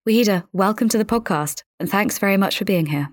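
A woman speaking as a podcast host, measured and articulate, in a presenting and interviewing style. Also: mid-range voice, no echo, studio quality. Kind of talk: scripted podcast narration and interviewing.

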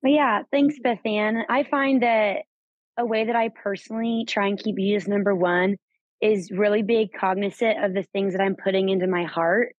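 A woman's voice: mid-range voice, steady tone, normal speed, no echo, lo-fi sound. A young woman speaking as a guest, sharing a personal faith testimony in an earnest, reflective way. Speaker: camper guest.

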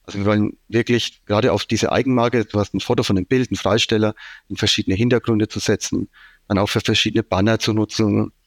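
Franconian-accented man, low dry voice. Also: steady tone, fast talker, no room sound. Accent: Franconian German. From Franconia, Germany. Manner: explains at length with frequent fillers. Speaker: IT manager, interview guest. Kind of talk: casual business interview.

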